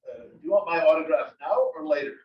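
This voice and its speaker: A man's voice: fairly high pitch, lively intonation, fast talker, lightly acted. A man reading the part of a grumbling, dryly humorous older husband in a comedy play. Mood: skeptical, bemused. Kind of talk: table read of a comedy play. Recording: roomy, distant, dull library recording.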